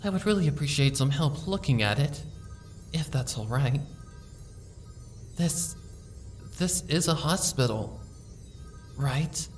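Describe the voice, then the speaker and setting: A woman voicing a timid, sick young female pony who speaks softly and stammers as she pleads. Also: low voice, lively intonation, slow, long pauses, far from the mic, faint music behind. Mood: timid, shaky. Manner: soft, hesitant, stammering, pleading. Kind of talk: fan audiobook reading.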